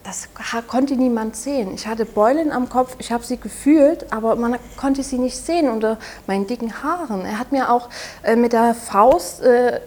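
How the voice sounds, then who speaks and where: A woman in her twenties from Saxony with a Saxon German accent, speaking haltingly and softly as an interview guest, often restarting her sentences. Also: on the high side. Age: 20s. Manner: halting, soft-spoken, with frequent restarts. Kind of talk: personal testimony in an interview.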